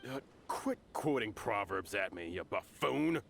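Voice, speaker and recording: A man's voice: on the low side, expressive, measured pace, vocal fry, a bit bright, slight background noise, full character voice. An actor playing a cocky, bullying male thug who mocks and sneers at his opponents. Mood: sneering. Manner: mocking, sneering, laughs at others. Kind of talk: video game character dialogue.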